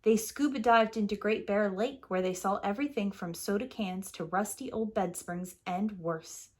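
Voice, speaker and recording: A woman's voice: medium pitch, normal speed, darkish tone. A woman preschool teacher narrating a children's picture book as a storyteller.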